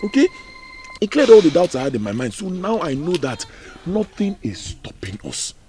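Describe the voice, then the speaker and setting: A pleading young prince. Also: male voice, on the high side, big pitch swings, moderate pace. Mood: coaxing. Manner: pleading, swings between hush and force.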